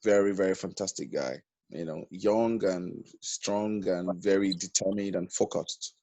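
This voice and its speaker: A man's voice: low voice, fairly even intonation, measured pace, warm tone, dry recording. A man on a video call, talking enthusiastically and repeating his phrases. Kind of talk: casual video call chat.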